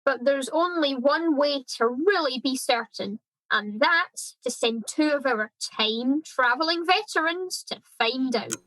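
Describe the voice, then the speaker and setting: Actress as grandly enthusiastic comedy radio host: female, high and bright, unhurried delivery, faint music. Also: animated, no echo, low-quality recording. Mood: earnest. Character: upbeat female host of time-travel history show. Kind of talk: scripted comedy radio presenting.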